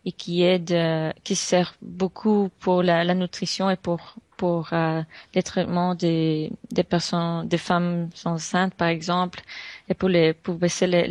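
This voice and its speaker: Woman, twenties, flat low voice, halting with restarts, dry sound. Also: no echo. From Honduras. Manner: halting, many restarts and fillers. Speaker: guest entrepreneur. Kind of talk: interview answers.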